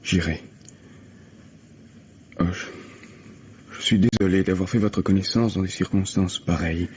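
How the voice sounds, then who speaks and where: An actor playing an eager, nervous, self-absorbed writer in a vintage radio mystery, stammering and hesitant. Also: male voice, low-pitched, fairly even intonation, measured pace, darkish tone, slight background noise. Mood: shocked, subdued. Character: nervous, eager, self-absorbed struggling young male playwright. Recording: dull, lo-fi old radio recording.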